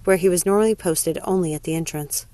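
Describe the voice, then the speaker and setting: A woman reads fiction aloud as the narrator. Her voice is on the low side and the sound is dry and close, in an audiobook manner. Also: fast, warm tone, dry recording. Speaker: narrator, story reader. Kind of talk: audiobook-style scripted narration.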